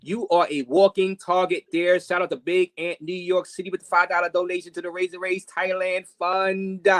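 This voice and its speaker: A man's voice: sits high, flat delivery, normal speed. A man co-hosting a stream, reading out donations in a loose, offhand way. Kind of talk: casual stream talk.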